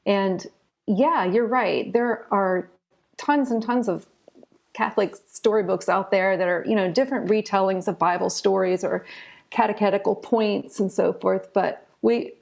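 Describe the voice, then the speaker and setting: A woman's voice: mid-range voice, creaky, a little mellow. A middle-aged American woman answering interview questions in a chatty, informal way, telling stories as she explains. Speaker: interview guest, children's author and illustrator. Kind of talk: conversational interview answers, storytelling.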